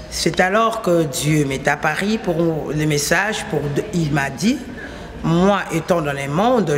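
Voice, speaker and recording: A woman from Kinshasa with a Congolese French accent, whose first language is Lingala, giving an emphatic religious testimony and restating her phrases in repetitive lists. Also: sits low. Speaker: testifying believer.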